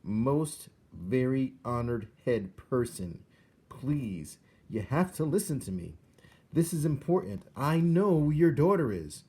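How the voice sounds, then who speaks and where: A man's voice: mid-range voice, big pitch swings, takes it slowly, dark tone, breathy edge, voice pushed up, heavily acted. A man voicing a small, earnest male mouse who pleads politely and formally in a storybook reading. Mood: earnest pleading. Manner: formal, deferential address.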